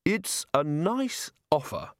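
A man's voice: mid-range voice, big pitch swings, a little slow, no room sound, clean studio sound. A man voicing a hapless, wry male runaway in an audiobook, with a weak, bemused, polite manner. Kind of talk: audiobook character voice.

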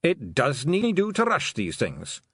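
A man voicing a fierce little male pixie chief with a Scottish accent, loud and blustering. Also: a little high, huge pitch swings, a little slow, husky, dry recording, clean studio sound, pitched above the performer's own voice, full character voice. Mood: sly.

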